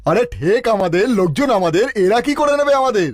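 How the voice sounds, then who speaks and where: A man's voice: high voice, big pitch swings, fast, faint music behind. A man voicing a brash, sarcastic gangster boss in a cartoon dub, snappy, mocking and bossy. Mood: annoyed.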